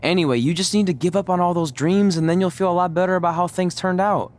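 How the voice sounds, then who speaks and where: An actor playing a wry, stubborn young wrestler in a video game, talking conversationally with dry sarcasm. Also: male voice, high-pitched, fast talker, darkish tone, natural voice. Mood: wry, casual. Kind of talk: video game cutscene acting.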